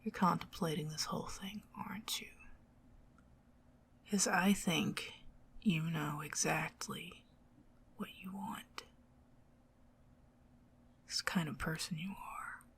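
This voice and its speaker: A woman with a Southern US accent voicing a possessive, scheming goblin queen in a soft, teasing ASMR whisper. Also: fairly low pitch, fairly even intonation, slow, pauses often. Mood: coaxing whisper. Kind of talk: ASMR roleplay.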